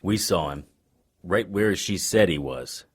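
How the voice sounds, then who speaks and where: A cool, wry male occult detective tells his tale in noir audio drama style. The voice sits low and dry, with faint noise behind it. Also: animated, unhurried. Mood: wry, tense.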